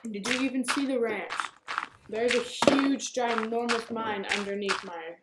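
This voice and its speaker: A woman's voice: on the high side, unhurried, close to an everyday voice. A young woman in casual gaming chat, speaking in a questioning way. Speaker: player in a multiplayer game. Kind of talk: casual gaming chat, acted in a dramatic reading. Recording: roomy, very noisy, distant keyboard clicks.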